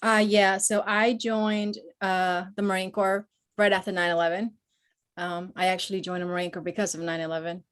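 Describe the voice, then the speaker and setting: A woman in her forties, born in Peru, speaks as a guest on a podcast. She talks openly and in a personal way, with lots of pauses and fillers, and her pitch sits mid-range for a woman. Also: flat delivery, a bit bright, clear, resonant tone. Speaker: guest, Marine veteran and nonprofit founder. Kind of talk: conversational podcast interview.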